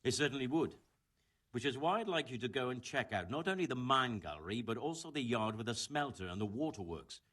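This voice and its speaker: A man voicing a serious, impatient chief engineer in a medieval video game, speaking in a brisk, formal, businesslike way. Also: medium pitch, expressive, fairly bright tone. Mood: concerned, instructing. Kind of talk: scripted video game dialogue.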